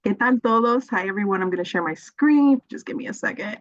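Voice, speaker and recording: A woman's voice: medium pitch, lively intonation, a little fast, no echo. A woman, an associate director giving an upbeat, friendly webinar presentation over a video call, with frequent "um"s. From Bronx, New York. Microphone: laptop mic on video call. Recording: dull, dry video call audio.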